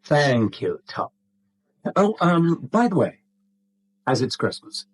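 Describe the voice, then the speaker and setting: An actor playing a smug English blackmailer with a husky voice and mockingly polite manner, in a 1970s radio drama. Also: male voice, on the high side, big pitch swings, slow, full character voice. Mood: breezy, genial. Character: smooth-talking, smug male blackmailer posing as genial customer.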